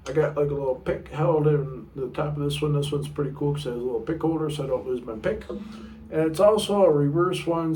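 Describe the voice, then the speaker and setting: A middle-aged man with a low baritone voice teaching a casual guitar lesson, chatty and rambling, sometimes singing short notes. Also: a little mellow, a little hiss or hum. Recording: room mic a bit far off, guitar playing.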